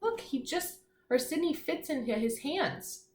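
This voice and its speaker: A woman teacher reading aloud to young children, pausing to explain simply, ask questions and encourage her listeners. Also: sits high, expressive. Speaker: elementary school teacher. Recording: echoey room, faint background noise.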